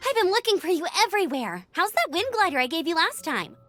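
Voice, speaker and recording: A woman's voice: very high-pitched, sing-song, quick pace, pushed and bright, heavily acted. A woman voicing a perky, earnest and slightly bossy young female knight in a video game. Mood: cheerful, teasing. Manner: emphatic, peppy, mock-official. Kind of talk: video game character acting. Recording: light music behind the voice.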